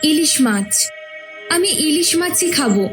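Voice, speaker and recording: A woman's voice: high-pitched, expressive, takes it slowly, music in the background. A woman voicing a sullen possessed daughter in a horror cartoon, stubborn, cold and menacing. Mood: stubborn, demanding.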